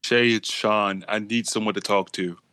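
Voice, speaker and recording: A man playing a nervous young man calling to come out to his family, halting and repeating his words. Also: on the low side, steady tone, pushed and bright, smooth. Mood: hesitant. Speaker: prank caller.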